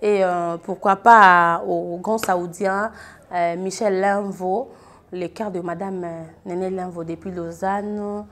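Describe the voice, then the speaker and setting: A woman's voice: on the low side, steady tone, slow pace, a bit bright, a little hiss or hum. A middle-aged Congolese woman hosting a talk show to camera, speaking casually and animatedly and repeating phrases for emphasis. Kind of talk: casual spoken commentary to camera, mixing French and Lingala. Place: living room, indoors. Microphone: lapel mic.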